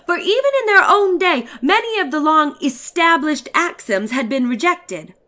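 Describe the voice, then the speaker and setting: High-voiced woman giving a dramatic literary reading. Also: expressive, normal speed, sharp tone. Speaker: reader of a short story.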